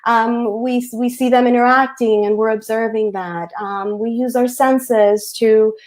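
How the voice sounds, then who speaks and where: Animated woman on a video call. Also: fairly high pitch, a little slow. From United States. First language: Spanish. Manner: animated, hesitant with many ums. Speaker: picture book author. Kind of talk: casual webinar talk.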